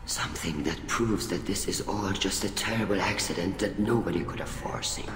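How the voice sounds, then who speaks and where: Deep-voiced, grave alien admiral. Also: male voice, a little fast, noisy background. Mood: earnest. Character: weary older male alien admiral, fatherly family friend. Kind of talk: video game dialogue acting. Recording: echoey hall reverb, music behind.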